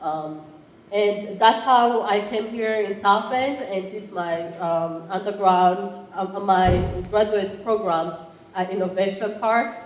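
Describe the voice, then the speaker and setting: A woman in her thirties from Rwanda with a Rwandan accent, giving a conversational conference talk with frequent fillers and restarts. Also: mid-range voice. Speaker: entrepreneurship program chair. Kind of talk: conference presentation. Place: conference room. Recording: roomy, faint background ambience.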